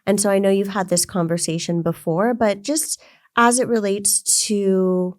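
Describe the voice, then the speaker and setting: A woman's voice: medium pitch, steady tone, no room sound, studio quality. A woman hosting an interview, affirming and encouraging her guest as she asks questions. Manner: affirming, quick to agree and encourage. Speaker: podcast host. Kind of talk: warm interview conversation.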